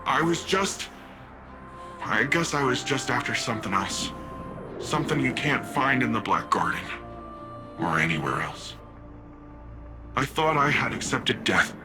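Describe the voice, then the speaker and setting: An actor voicing a brash young warrior who talks in an earnest, halting, heartfelt way in video game dialogue. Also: male voice, medium pitch, lively intonation, close to an everyday voice. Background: game score music.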